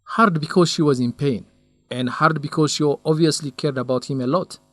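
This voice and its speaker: A man's voice: a little high, normal speed, no echo, faint music behind, lightly acted. An actor playing a young male researcher in a radio drama, lovesick and stubborn, speaking in an earnest, defensive way.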